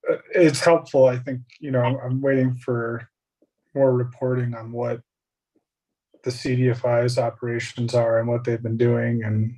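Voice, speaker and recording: A man gives committee remarks in a measured, businesslike way. His voice is mellow and fairly flat in pitch. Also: poor audio. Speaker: committee vice chair.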